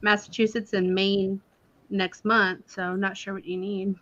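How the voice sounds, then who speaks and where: A woman's voice: medium pitch, level tone, normal speed, a bit bright, muffled recording, a little hiss or hum. A woman from Texas chatting casually as a panelist on a livestream. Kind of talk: casual chat.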